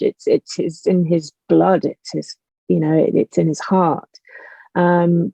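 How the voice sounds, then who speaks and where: Female interview guest, mellow voice with vocal fry, emphatic and impassioned, casual chat. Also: on the low side, no room sound, dull audio. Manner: emphatic, animated storytelling with exasperated rhetorical questions. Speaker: guest, audio drama writer. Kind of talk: passionate casual conversation.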